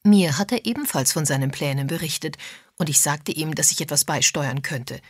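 A woman's voice: sits low, moderate pace, slightly rough, dry recording, clean studio sound. A woman voicing a tough, blunt woman amateur sleuth, frank and musing, in an audiobook.

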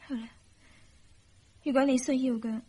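Radio drama young wife: self-sacrificing, sorrowful, Hong Kong Cantonese accent, high-pitched, breathy delivery. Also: female voice, fairly even intonation, moderate pace, mellow. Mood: sad, pleading.

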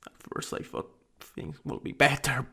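A man acting an anxious, polite office worker in an ASMR boyfriend roleplay, halting and dramatic, with a breathy voice that often drops toward a whisper. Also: bright, forceful tone, lightly acted. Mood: distressed, frustrated.

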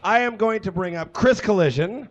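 A man hosting a live comedy show, casually introducing the next piece in a halting, self-deprecating, joking way. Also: high voice, slight background noise. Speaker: show host. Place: small indoor comedy stage. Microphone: stage microphone on stand. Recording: muffled live stage microphone.

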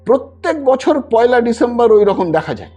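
Brief: male storyteller as an older station master, husky, knowing and cautious, confiding tone, music underneath. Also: sing-song, moderate pace, dark tone, full character voice. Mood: hushed, knowing. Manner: lowered, confiding way of talking.

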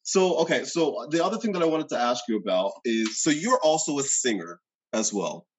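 Middle-aged male host, mid pitch, enthusiastic and chatty, home webcam sound. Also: big pitch swings, moderate pace, slight background noise. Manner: eager, frequent backchannel and laughs. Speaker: podcast host. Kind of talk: casual interview over video call. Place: home room indoors. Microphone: video call webcam.

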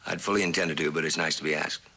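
Deep-voiced, wry charmer. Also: male voice, fairly even intonation, fast talker, sharp tone, breathy edge, heavily acted. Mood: wry, teasing. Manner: wry, bantering. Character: teasing, charming old college friend and houseguest, a man. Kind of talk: mid-century American television drama acting. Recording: noisy old television soundtrack with clicks.

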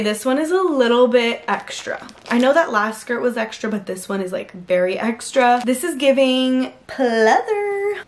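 A woman in her twenties doing a chatty, gushing try-on haul review from her bedroom, filled with "like". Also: on the high side. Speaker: fashion vlogger. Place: pink-lit bedroom.

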